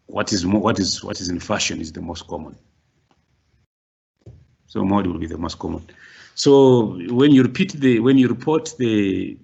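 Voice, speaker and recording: A middle-aged male lecturer with a very low, gravelly and husky voice, working through a workshop slowly and repeating himself as he explains. The sound is muffled and lo-fi, like an online lecture recording. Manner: explains slowly with many restarts and rising stresses.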